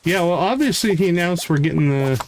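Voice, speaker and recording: A man's voice: sits high, animated, brisk, slight background noise. A man casually commentating his live gameplay, talking to himself in short remarks and repeating words as he thinks. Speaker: game streamer. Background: music.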